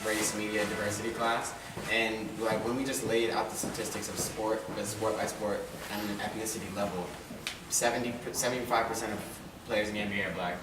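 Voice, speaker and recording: Young man in an echoey room, animated. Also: lowish voice, brisk. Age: young adult. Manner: animated, laughing, emphatic. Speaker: student panelist. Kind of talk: casual group discussion. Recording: echoey room, mic far off, background noise.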